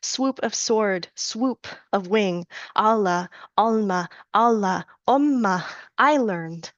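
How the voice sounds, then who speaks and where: A woman reading her own poetry aloud at a live reading, in a measured, deliberate recitation with rhythmic repetition and soft, low-key asides between poems. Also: no room sound. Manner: slows and chants the Latin and prayer lines. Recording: dull, dry lo-fi recording.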